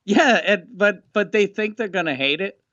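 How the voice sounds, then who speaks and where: A man's voice: high voice, expressive, normal speed, fairly bright tone, no echo. A man co-hosting a podcast in casual chat, rambling and laid-back with frequent swearing. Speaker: podcast co-host. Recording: dull, dry podcast mic.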